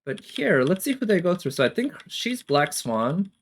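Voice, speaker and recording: A man's voice: high-pitched, sing-song, normal speed, faint music behind. Hesitant, analytical man commentating. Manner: hesitant, thinking aloud, analytical. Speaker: reaction streamer. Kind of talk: casual off-the-cuff commentary.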